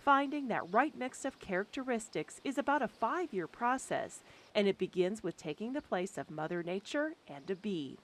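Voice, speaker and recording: A woman reading scripted documentary narration in a lively, expressive way, recorded as a studio voice-over. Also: medium pitch, normal speed, few pauses, a little hiss or hum. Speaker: program narrator. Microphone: studio voice-over mic.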